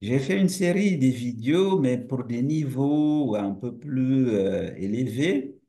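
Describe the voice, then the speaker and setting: A man whose first language is Portuguese speaks slowly and deliberately. He lectures like a university teacher, and his pitch rises and falls a lot. He poses rhetorical questions and often restarts a phrase. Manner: relaxed and friendly. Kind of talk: lecture to camera.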